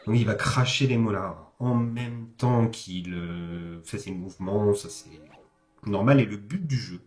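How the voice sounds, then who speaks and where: Low-voiced man commentating over music. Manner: hesitant, self-correcting, informal asides. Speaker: video game commentator, host. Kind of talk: casual speedrun commentary, explanatory. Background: background music.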